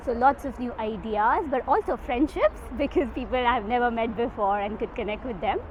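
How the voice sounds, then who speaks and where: A woman's voice: fairly high pitch, normal speed. A woman with an Indian accent giving reflective, slightly hesitant answers in an interview. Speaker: psychotherapist, conference participant. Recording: muffled sound with clear background noise.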